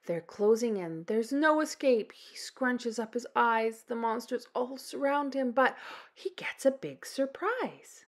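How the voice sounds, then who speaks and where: Slow, animated Canadian woman reading to children. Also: on the high side. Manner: animated, deliberate, dramatic emphasis. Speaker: children's storytime host. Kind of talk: expressive children's picture book read-aloud.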